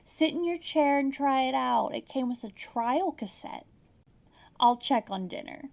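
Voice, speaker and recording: A woman playing a falsely devoted, quietly vengeful wife in a comedic audio drama, sugary and innocent in manner. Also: sits high, lively intonation, a little slow, vocal fry, exaggerated voice. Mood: sweetly coaxing.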